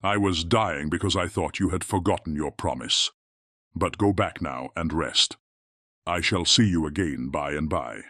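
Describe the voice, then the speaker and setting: An actor playing a gentle, weakened, lovelorn enchanted beast in a fairy tale audiobook, speaking faintly. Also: male voice, low-pitched, measured pace, breathy edge, dry recording, clean studio sound, full character voice. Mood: faint, tender.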